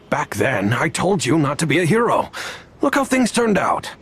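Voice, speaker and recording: A man's voice: on the high side, huge pitch swings, unhurried, a bit bright, a little hiss or hum, heavily acted. An actor playing a worried, reproachful middle-aged father. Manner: reproachful and weary.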